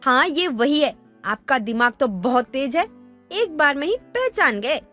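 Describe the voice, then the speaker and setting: A woman playing a haughty, hot-tempered young daughter-in-law who scolds in a melodramatic animated story. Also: high voice, unhurried, soft background music, heavily acted. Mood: sarcastic. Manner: scolding, confrontational.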